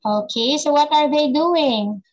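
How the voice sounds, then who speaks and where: A young woman from the Philippines tutoring a child in English on a video call through a headset, patient and slow, often repeating the child's answers. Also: sits high. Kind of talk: online lesson with a child.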